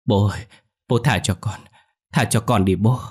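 A cowardly grown son, played by a male narrator, begging for his life in a horror tale. His husky voice is tearful and whimpering, pleading over and over in terror. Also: on the low side, normal speed, darkish tone. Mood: wailing plea. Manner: pleading, repeating himself.

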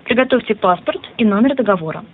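A woman's voice: medium pitch, animated, fast. A woman reading a scripted automated greeting as the announcer of a bank phone line. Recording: noisy narrowband telephone line.

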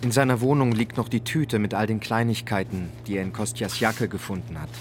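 An actor as the sober narrator of a radio crime drama, delivering scripted lines in a measured, terse way. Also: male voice, lowish voice, slight background noise, natural voice. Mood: grave, measured.

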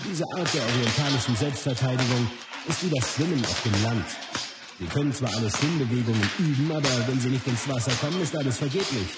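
A confident male martial arts master played by an actor speaks bluntly and philosophically, with loud music under his mid-pitched voice. He narrates in the first person for a radio play, matter-of-fact and opinionated. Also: brisk, natural voice. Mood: dismissive, instructive.

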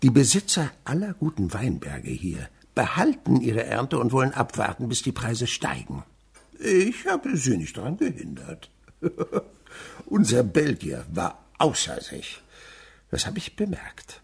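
Gravelly, wildly animated old miser. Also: male voice, medium pitch, measured pace, full character voice. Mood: gleeful, gloating. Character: sly, gleeful, miserly old winegrower, domineering father. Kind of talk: radio drama acting.

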